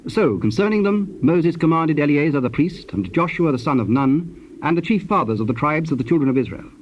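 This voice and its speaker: A man's voice: fairly high pitch, expressive. Male Bible narrator on dull tape. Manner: formal, measured. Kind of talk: scripted scripture narration. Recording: dull, noisy old cassette tape.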